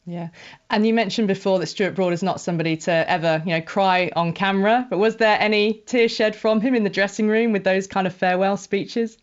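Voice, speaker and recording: A slightly muffled, band-limited recording carries a woman presenting a sports talk show. Her pitch is mid-range, and she interviews in a conversational, chatty way with long linked questions. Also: fairly quick.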